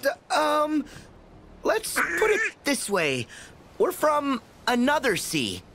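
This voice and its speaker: A man's voice: extremely high pitch, wildly swooping pitch, takes it slowly, background noise, full character voice. A man playing a plucky, cocky, good-natured teenage boy hero in a video game, talking in a casual, boyish way. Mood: puzzled, indignant.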